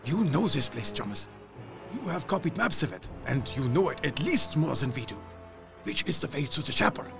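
An actor playing a grave, commanding older professor who leads vampire hunters in an audio drama, speaking solemnly in deliberate, formal phrases with a husky, gravelly voice. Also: male voice, a little high, big pitch swings, music in the background.

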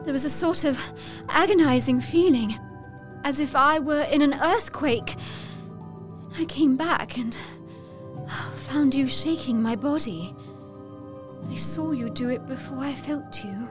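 A woman's voice: high-pitched, unhurried, music in the background. A woman playing a delicate young Victorian lady in a gothic audio drama, speaking in a wistful, half-dreaming way. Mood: dreamy, haunted.

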